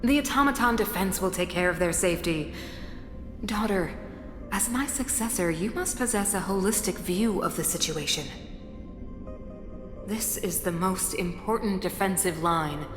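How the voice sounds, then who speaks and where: A woman's voice: on the low side, normal speed, lightly acted. An actress playing a stern, imperious middle-aged female ruler in a video game, formal and commanding. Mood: firm, instructive. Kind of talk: video game story voice acting. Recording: echoey reverb with music behind.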